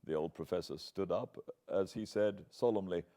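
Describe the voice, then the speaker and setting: A man's voice: sits low, moderate pace, dark tone. A man with a British accent reading a classic novel aloud as an audiobook narrator, in a dramatic literary style. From Britain.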